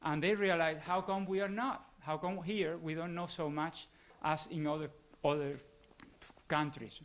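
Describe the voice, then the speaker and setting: A man in the audience posing a long question in a formal, halting way, often searching for words. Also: on the high side, fairly even intonation. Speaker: audience member asking a question.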